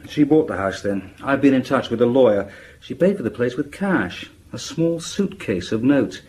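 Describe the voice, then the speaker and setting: An actor playing a diligent police inspector in a radio drama, reporting matter-of-factly in a conversational way. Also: male voice, mid-range voice, animated, a little mellow, lightly acted. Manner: hesitant pauses, matter-of-fact reporting. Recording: faint background noise.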